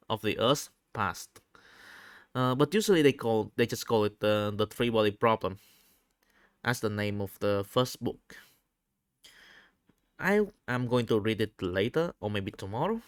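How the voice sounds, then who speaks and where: A man talks in a casual, unscripted audio diary, haltingly and often repeating his phrases. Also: fairly low pitch, fairly bright tone, dry recording, clean studio sound. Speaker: vlogger recording an audio diary. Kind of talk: casual unscripted personal monologue.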